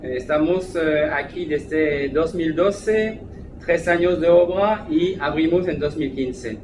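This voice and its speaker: Brief: French-accented man, hesitant restaurant manager, slightly high voice, echoey noisy room. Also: slow. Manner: hesitant, many fillers. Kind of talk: interview. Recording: echoey room, background noise.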